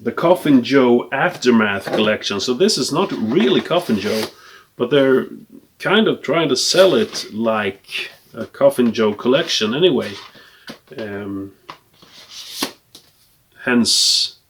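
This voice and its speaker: Halting Swedish-accented man, casual collector. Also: medium pitch, lively intonation, fairly bright tone, a little hiss or hum. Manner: hesitant, halting delivery with trailing asides. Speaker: film collector presenting a collection. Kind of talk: casual unscripted show-and-tell.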